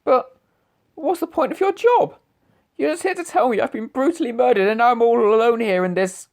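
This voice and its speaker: A woman's voice: a little high, moderate pace, a little mellow, close to an everyday voice. Woman playing a flustered man of thirty-five. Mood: exasperated. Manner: flustered, exasperated, demanding answers. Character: panicky freshly murdered male writer, 35. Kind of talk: amateur radio drama acting.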